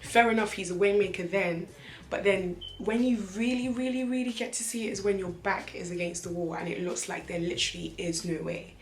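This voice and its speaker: A young woman from Nigeria chatting in a vlog, her voice slightly croaky, animated, laughing often and repeating phrases for emphasis. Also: medium pitch, fairly quick, slight background noise. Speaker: vlogger. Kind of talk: casual chatty vlog talk. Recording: echoey room.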